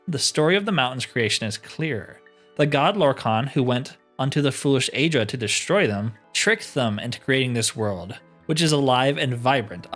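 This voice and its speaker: A man acts out a wise elder of a fantasy game, solemn and formal. The tale unfolds in measured storytelling, with soft music behind it. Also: mid-range voice. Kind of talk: fantasy game roleplay reading.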